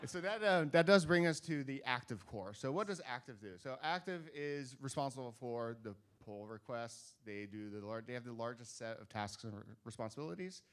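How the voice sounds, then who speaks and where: A man's voice: medium pitch, lively intonation, brisk, few pauses, clear, resonant tone. A Dutch man co-chairing a conference, talking casually and joking with a live audience on stage. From Netherlands. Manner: chatty, self-deprecating, joking asides.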